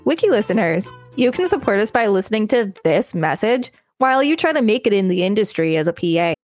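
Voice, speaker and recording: A woman's voice: mid-range voice, sing-song, a little fast, darkish tone, faint music behind. Woman narrating an article. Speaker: podcast co-host reading encyclopedia articles. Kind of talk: read-aloud article narration.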